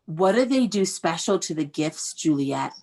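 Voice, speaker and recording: A woman's voice: fairly low pitch, animated, moderate pace, vocal fry, warm tone, slight background noise. An American woman teaching young children in an interactive read-aloud over a video call, praising and prompting them with questions. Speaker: elementary school teacher.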